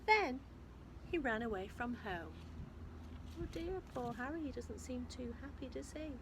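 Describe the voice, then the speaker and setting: Female storyteller for young children, unhurried and very lively in intonation, expressive delivery, noisy recording. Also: high-pitched. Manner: expressive, emphatic storytelling with questions to listeners. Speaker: infant school storyteller. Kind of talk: reading a picture book aloud to young children, with asides.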